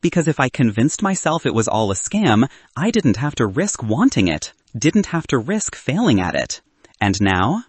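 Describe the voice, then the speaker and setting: A man's voice: mid-range voice, sing-song, normal speed, slightly rough, no echo. A man voicing a cynical young best man who is awkwardly sincere and argumentative in a romantic comedy audiobook. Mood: earnest confession. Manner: wry, argumentative, earnest.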